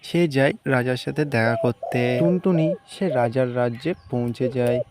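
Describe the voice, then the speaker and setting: A man's voice: mid-range voice, normal speed, over music. A man narrating a children's cartoon story as its storyteller. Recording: noisy track, clicks and birdsong.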